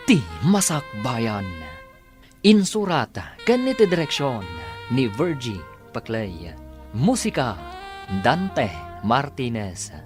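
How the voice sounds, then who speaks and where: Male radio drama announcer, Ilocano accent, mid-pitched, slow scripted delivery with prominent music behind.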